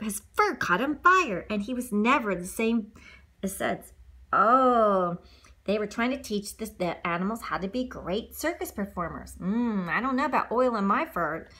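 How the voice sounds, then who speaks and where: A woman's voice: medium pitch, lively intonation, moderate pace, sharp tone. A woman reading a children's storybook aloud, adding casual asides as she goes.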